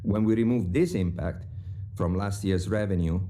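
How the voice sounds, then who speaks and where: A man with a low, mellow voice reads through quarterly figures in a dry, precise way. A faint hiss runs beneath his words. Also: moderate pace. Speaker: company finance chief on an earnings call. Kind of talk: scripted financial remarks.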